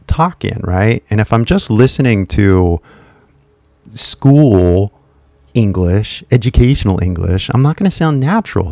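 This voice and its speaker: Guy doing a pumped-up english learner hyping himself up, slow repetitive lines, low voice, super dry sound. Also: a little mellow, no echo. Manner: repetitive, slow deliberate phrasing with fillers. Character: adult Japanese man learning English, upbeat and determined. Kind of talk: modeled learner self-talk.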